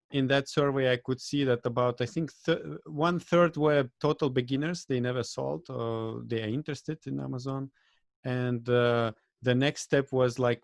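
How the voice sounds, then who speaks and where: Lithuanian guy on a video call, lots of uhs, talks kinda halting, dead dry audio. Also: mid-range voice, dry recording. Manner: halting, with many uh fillers and small grammar slips. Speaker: guest, event organizer. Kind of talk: casual interview chat.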